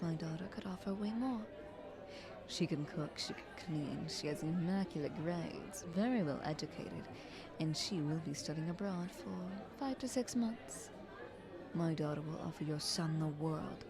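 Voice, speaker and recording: A woman playing a scheming, flattering queen in an audio roleplay, speaking in a haughty, ornate courtly manner. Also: deep voice. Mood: boastful. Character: visiting queen, scheming, flattering, condescending royal negotiator.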